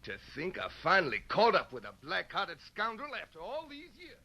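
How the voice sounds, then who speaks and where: An actor playing a blustering, vengeful cowboy with theatrical western talk, on a dull old radio recording. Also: male voice, sits high, huge pitch swings, normal speed, creaky, pushed and bright, exaggerated voice. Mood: menacing bluster. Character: blustering, vengeful cowboy gunslinger hunting his partner.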